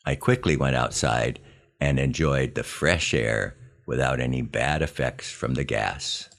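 An American man in his seventies narrating scripted first-person memoir stories in a wry, plain-spoken, measured way. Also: extremely low pitch, gravelly, a bit bright. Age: 70s. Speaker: podcast host telling his army memories.

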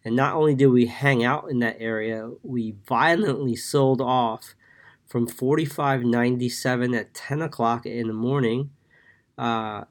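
A man hosting a market podcast, congested from a cold, giving a casual daily recap with many fillers while reading numbers from his notes. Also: mid-range voice. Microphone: podcast mic.

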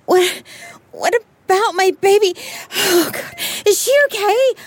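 A woman's voice: very high voice, takes it slowly. A shrieking, terrified pregnant woman. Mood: panicked, pained. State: crying, screaming in pain. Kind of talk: immersive audio drama acting.

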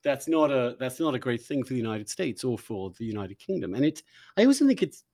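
Animated, opinionated male interview host. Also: mid-range voice, dry recording, clean studio sound. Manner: emphatic, halting, repeating words for stress.

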